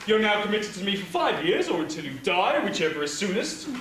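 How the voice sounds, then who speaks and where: A gleefully exploitative panto villain, high and swooping in pitch. He is mock-polite and taunting, projecting theatrically to the house. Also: big pitch swings, a little fast, fairly bright tone. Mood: gleeful menace. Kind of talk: theatrical pantomime stage acting. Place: theatre or hall stage, live performance. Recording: echoey hall stage recording, faint noise.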